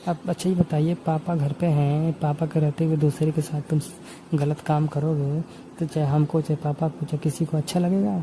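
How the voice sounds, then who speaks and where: A man's voice: a little high, little pitch movement, normal speed, mellow. Insistent young man on a noisy call. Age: 20s. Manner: insistent, repetitive. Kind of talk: informal phone conversation. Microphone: phone. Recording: noisy phone call recording.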